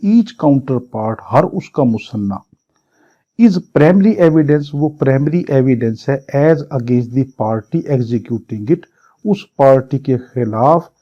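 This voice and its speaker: Dull-sounding Pakistani male law lecturer, mellow voice. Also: mid-range voice. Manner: didactic, repetitive, question-and-answer phrasing. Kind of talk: exam-prep lecture, reading statute clauses then explaining with examples.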